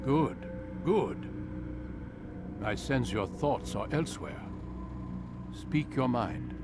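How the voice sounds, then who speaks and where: An actor playing a composed, authoritative mentor of assassins in a video game, with a deliberate, patrician manner. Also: male voice, medium pitch, animated, heavy background noise. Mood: expectant. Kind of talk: dramatic video game acting.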